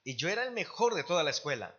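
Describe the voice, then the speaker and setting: Bright-voiced male church interpreter. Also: on the high side, sing-song, rapid-fire, husky, muffled recording. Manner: translating phrase by phrase, with some restarts. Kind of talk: live consecutive interpretation. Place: church service.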